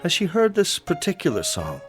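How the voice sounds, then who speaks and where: An actor playing an urbane, middle-aged bachelor playwright with a suave, formal way of speaking, in a radio drama. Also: male voice, a little high, wildly swooping pitch, moderate pace. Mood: nostalgic. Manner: suave, dry, formal phrasing. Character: urbane, aloof bachelor playwright, secretly romantic. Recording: studio radio drama with music underneath.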